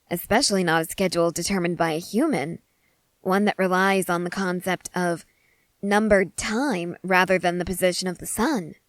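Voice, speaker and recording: Girl voicing an excitable lady scientist in an asmr roleplay, wordy n curious, pretty dry close sound. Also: medium pitch, no echo, natural voice. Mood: excited wonder. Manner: eager, wordy, apologetic, formal phrasing. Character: excitable, curious woman scientist studying a wild wolf. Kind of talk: scripted ASMR audio roleplay to the listener.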